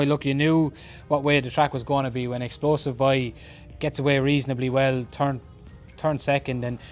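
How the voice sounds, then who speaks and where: This Irish man is a racing pundit who speaks in a flat, even tone. His analysis is measured and unhurried, and he often leads into a point with "look". His voice sits at a middle pitch for a man. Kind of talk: race analysis chat.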